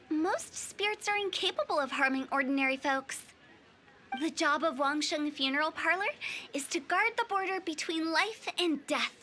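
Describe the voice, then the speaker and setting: A woman's voice: very high voice, bright, forceful tone, heavily acted. A woman voicing a cheerful, eccentric young female funeral director in an anime game, playful, theatrical and chatty.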